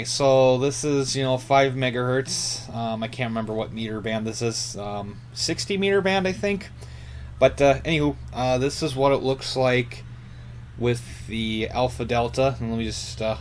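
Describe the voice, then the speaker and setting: A man giving a casual hands-on equipment demonstration, halting and tentative as he thinks aloud. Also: mid-range voice. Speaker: radio hobbyist presenter. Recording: faint hum and hiss behind.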